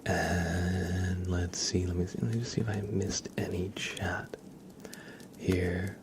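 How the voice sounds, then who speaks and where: A man hosting an ASMR livestream, chatting casually and unhurriedly in a soft, gentle murmur that drifts into whispers. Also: low voice, little pitch movement, takes it slowly, background noise. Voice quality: soft, breathy half-whisper. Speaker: livestream host reading chat.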